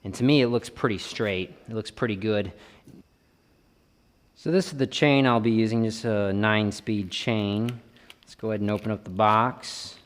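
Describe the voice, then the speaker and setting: A man's voice: fairly low pitch, normal speed. A man giving a casual hands-on tutorial, thinking aloud informally as he works. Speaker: bike vlogger and host. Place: indoor garage or shed workshop with plywood walls. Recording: reverberant workshop room sound.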